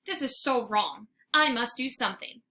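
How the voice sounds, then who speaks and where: A woman's voice: on the high side, expressive, moderate pace, sharp tone. A woman voicing an eager, needy young dog from a children's storybook, dramatic, exclamatory and pleading. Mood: indignant.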